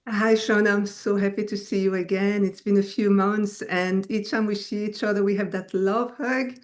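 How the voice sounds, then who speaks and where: A middle-aged woman from Belgium, a native French speaker with an Americanized French accent, telling her story as an interview guest in a halting way. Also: medium pitch, fairly even intonation. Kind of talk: personal storytelling in an online interview.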